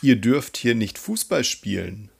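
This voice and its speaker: Guy teaching grammar drills, really slow and careful, bit of gravel in his voice. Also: mid-range voice, sing-song. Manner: deliberate, instructional, repeats sentences. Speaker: German language teacher. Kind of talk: scripted grammar drill, reading example sentences.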